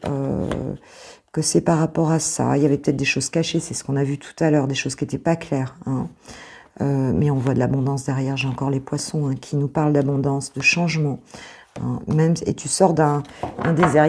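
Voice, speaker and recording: A French woman who is a coach, reading cards to her viewers in a casual, hesitant way with many fillers and a slightly hoarse voice. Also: sits low, a little hiss or hum. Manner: hesitant, many fillers, soft reassuring asides.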